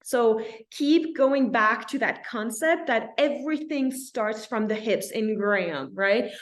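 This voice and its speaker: A woman from France with a French accent, instructing a dance class in an encouraging way and often checking in with her students. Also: a little high.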